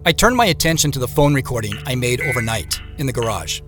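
A man playing an animated, inquisitive amateur investigator. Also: mid-range voice, fast, over music, natural voice. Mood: focused, determined. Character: inquisitive male amateur investigator, reflective and determined. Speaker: lead actor and narrator. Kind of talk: first-person scripted audio drama narration.

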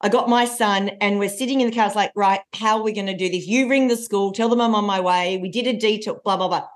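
A woman, a coach telling stories in a podcast interview with a loud, lively voice. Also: medium pitch, quick pace. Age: 40s. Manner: lively storyteller. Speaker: coach and trainer.

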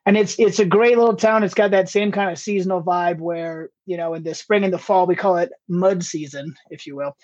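A man's voice: high-pitched, steady tone, fairly quick, few pauses, a little mellow. A man from Ohio, a stand-up comic, chatting casually and rambling on a podcast. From Ohio, US Midwest. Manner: rambling, laughs easily. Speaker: stand-up comedian, guest. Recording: dull lo-fi sound.

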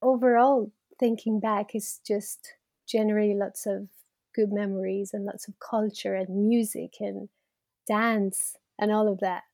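A woman's voice: mid-range voice, steady tone, measured pace, a little mellow, no room sound, studio quality. A woman in her thirties from Iran, speaking as an interview guest in a reflective, conversational way and laughing easily. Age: 30s.

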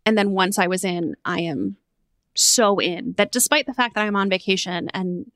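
An eager woman hosts a book podcast from a temporary room while traveling. She talks in quick run-on sentences at a middle pitch. Her voice sounds close and dry. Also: expressive, a bit bright, no echo. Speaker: podcast host. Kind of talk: casual book chat.

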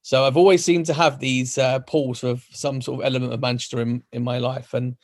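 A man's voice: medium pitch, a little fast. A man in his thirties with a London accent, chatting casually on a football podcast and rambling through his answers. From London, England. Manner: relaxed, rambling, hesitant restarts. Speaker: podcast co-host. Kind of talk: casual chat.

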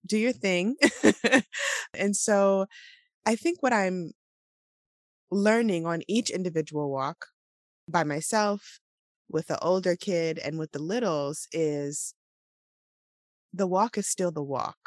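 A woman, co-hosting a casual morning podcast chat in a relaxed, meandering way, her voice still sleepy. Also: on the low side, slow, long pauses, no room sound, studio quality. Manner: relaxed, laughs often, meandering. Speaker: podcast co-host.

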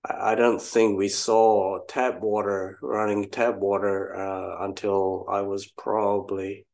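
A man's voice: lowish voice, flat delivery, slow, vocal fry, darkish tone. Reflective older man from Malaysia. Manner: reflective, self-deprecating, wry. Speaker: guest entrepreneur. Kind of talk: casual interview storytelling.

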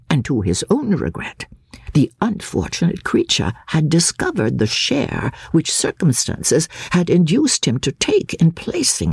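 A woman reading a classic novel dramatically as a formal, judicious Victorian male lawyer, with deliberate, expressive phrasing. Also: low-pitched, creaky, slightly rough, no room sound, pitched above the performer's own voice, full character voice.

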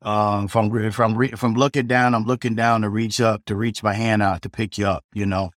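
A man's voice: fairly low pitch, little pitch movement, a little fast, no echo, studio quality. A middle-aged man, a chef, telling his story in a loose, rambling way, full of restarts and "you know" and blunt language. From South Side of Chicago. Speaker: chef guest. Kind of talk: casual storytelling interview.

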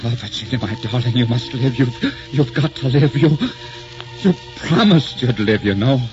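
An actor playing a grieving, tormented poet husband with a brooding, melodramatic delivery in the style of 1940s radio drama. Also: male voice, mid-range voice, normal speed, darkish tone, close to an everyday voice. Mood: pleading despair. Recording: muffled old 1940s radio recording, music behind.

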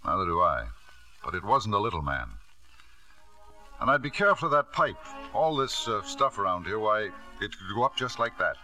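An actor playing a scheming, reckless businessman and devoted father in 1950s radio drama, with a smooth, theatrical delivery. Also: lowish voice, very animated, moderate pace, sharp tone, faint music behind, exaggerated voice. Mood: sly, insinuating.

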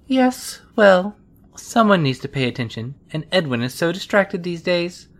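A woman's voice: lowish voice, sing-song, a little slow. A woman playing a prim, exacting scientist in an audio drama, speaking formally and precisely with dry superiority. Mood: brisk, formal.

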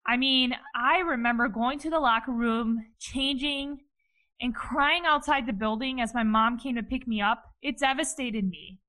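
A woman in her thirties from Ohio, hosting a podcast and telling her life story in a lively, upbeat way with many "you know"s and "like"s. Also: fairly high pitch, normal speed, rough recording. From Cleveland, Ohio, USA. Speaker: podcast host. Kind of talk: personal storytelling monologue.

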